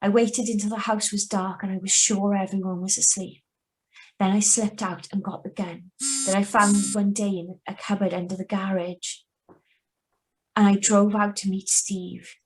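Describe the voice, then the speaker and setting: A woman playing a young wife who calmly confesses to murder in a live reading of a radio thriller. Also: mid-range voice, normal speed, close to an everyday voice, low-quality recording. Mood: calm, confessional. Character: young wife Patrice, calm remorseless killer confessing. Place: video call reading from home.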